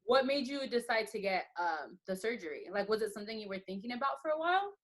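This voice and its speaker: A young woman in her late twenties hosts a podcast in a slightly higher voice. She chats casually and asks her guest questions, often tagging her lines with "you know". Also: expressive, fast talker. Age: 20s. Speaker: podcast host. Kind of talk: casual interview chat.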